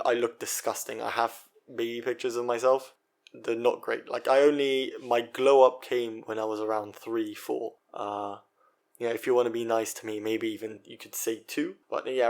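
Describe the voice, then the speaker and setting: A man in his twenties from Israel, hosting a solo podcast in a chatty, self-mocking way with many fillers and restarts. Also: mid-range voice. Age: 20s. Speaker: podcast host.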